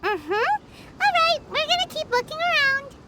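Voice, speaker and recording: A woman's voice: extremely high pitch, sing-song, measured pace, very noisy, full character voice. A woman voicing a small, childlike, chirpy and opinionated fairy companion in a video game. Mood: chatty, curious. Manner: talks of herself in third person, exclaiming. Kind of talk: video game character acting.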